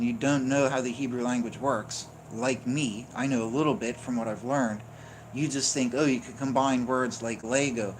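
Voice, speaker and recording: A man's voice: mid-range voice, poor audio. A man teaching in an unscripted, insistent way, explaining point by point and checking in with "right?" and "okay?". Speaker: religious teacher. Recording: noticeable background noise.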